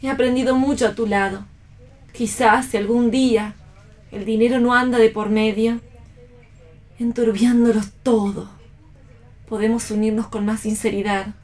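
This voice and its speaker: A woman's voice: on the high side, level tone, normal speed, pauses often, a little mellow, close to an everyday voice. A woman acting a proud, idealistic, wounded young bride in a play reading for radio, delivered with dramatic emotion. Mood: tearful, tender.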